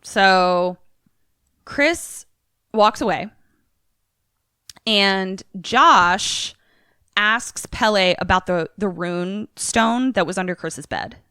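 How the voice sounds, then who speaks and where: A woman's voice: medium pitch, very slow, pauses often, bright, forceful tone, clean tone, no room sound, clean studio sound. A woman co-hosting a podcast, recapping a film in loose casual chat, swearing freely and saying "like" constantly. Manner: loose and profane, heavy on "like". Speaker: podcast co-host.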